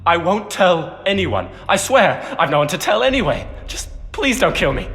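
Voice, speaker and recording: An actor playing a frightened, rueful poacher in hiding in a video game, nervous and halting. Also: male voice, high-pitched, big pitch swings, fairly bright tone, heavily acted. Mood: panicked, pleading. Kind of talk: video game cutscene acting. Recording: echoing cave reverb, faint music.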